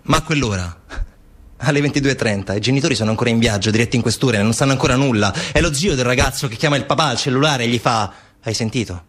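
A man's voice: medium pitch, racing, a bit bright. A male actor narrating a documentary stage monologue in a clipped, factual, chronicle-like way.

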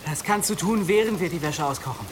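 A man's voice: high voice, expressive, fairly quick, background noise. An actor playing a battered, bitterly resolute young man in an audio drama, dry and mocking. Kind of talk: German audio drama acting.

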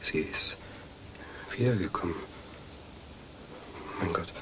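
Ein Schauspieler als wahnhafter Anstaltspatient in einem Hörspiel, der eindringlich und verschwörerisch von seinen Ängsten redet. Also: male voice, low voice, expressive, takes it slowly, noisy background. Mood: frightened. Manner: urgent, conspiratorial explaining. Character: delusional asylum patient, obsessively weeding, fearful of women. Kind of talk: German radio drama acting.

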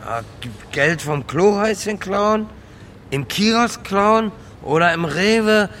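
A fairly high male voice over clear traffic and street noise. It is a guileless down-and-out petty thief, speaking plainly and haltingly in a radio play. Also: fairly bright tone. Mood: downcast, helpless. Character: hapless, guileless homeless man, proud petty thief. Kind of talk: naturalistic radio drama dialogue. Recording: noisy outdoor street with traffic.

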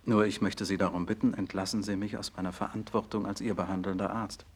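A respectful doctor caring for an old teacher in a 1960s radio drama. His voice is lowish and rough-edged, his delivery formal, deferential and full of concern. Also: little pitch movement, fast. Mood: worried. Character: respectful, anxious male physician, loyal former pupil. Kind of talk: 1960s German radio play acting.

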